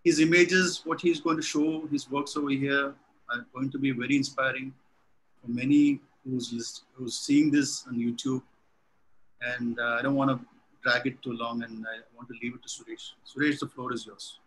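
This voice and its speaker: Here is a man with a bright, sharp-edged voice at a middle pitch, hosting a talk over a video call. He introduces his guest with open admiration and often repeats words while he looks for the next one. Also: steady tone, normal speed, low-quality recording.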